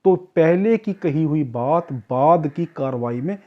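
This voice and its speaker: A man's voice: a little high, animated, measured pace, highs cut off. A Pakistani man giving an informal lecture as a tutor, explaining step by step in a didactic, repetitive way, often asking questions and answering them himself. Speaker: law tutor. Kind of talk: informal exam-prep lecture, explaining clause by clause.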